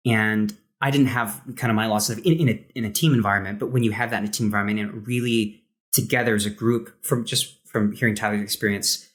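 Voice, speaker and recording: Lower-voiced man, halting and reflective. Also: steady tone, fairly bright tone. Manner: halting, searching for words, many false starts. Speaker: podcast host. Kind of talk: casual, reflective conversation.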